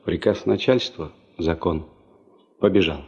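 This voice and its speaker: A man's voice: low-pitched, unhurried, breathy edge, full character voice. An actor playing a chatty, wry working man, once a sailor and prospector, with folksy mock-grandiose joking in a 1970s Soviet radio play. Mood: brisk, teasing. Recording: dull 1970s radio drama tape.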